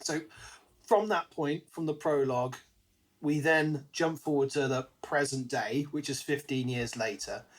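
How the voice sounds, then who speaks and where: A man's voice: on the high side, a little slow, poor audio. A man co-hosting a podcast, chatting casually about a book and acting out bits as he retells. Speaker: podcast co-host.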